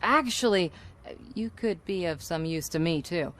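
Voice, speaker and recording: Scheming female production boss, game cutscene: deep for a woman, sly, assertive. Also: animated, measured pace, fairly bright tone. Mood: sly, conspiring. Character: scheming, manipulative woman running a commercial shoot. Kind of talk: video game cutscene acting.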